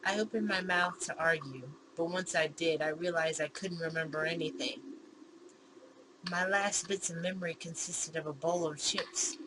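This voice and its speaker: A woman's voice: on the low side, steady tone, moderate pace. A woman gaming YouTuber reading a visual novel aloud, with bemused, giggly reactions in between. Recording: clear background noise.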